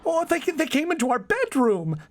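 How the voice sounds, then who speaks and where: A woman's voice: sits high, huge pitch swings, normal speed. A woman playing a frantic, fragile secretary in a melodramatic old-time radio drama, stammering breathlessly and pleading. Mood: panicked.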